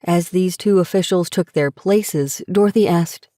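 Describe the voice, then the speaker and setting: A female narrator reads a scripted story. Her voice sits slightly low, and it is recorded close and dry, with no room sound. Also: unhurried, mellow, dry recording.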